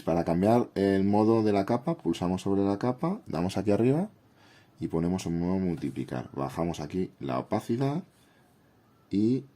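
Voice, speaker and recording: Man, Castilian Spanish accent, very low mellow voice, congested from a cold, informal friendly video host. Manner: informal, friendly, addresses viewers directly. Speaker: YouTube host reviewing a drawing app. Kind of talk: casual tutorial and review chat.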